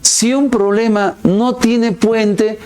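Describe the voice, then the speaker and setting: High-voiced, unhurried male math teacher. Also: clean tone. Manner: explains step by step with frequent rhetorical questions; lively, swings his pitch to stress words. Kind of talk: recorded online class.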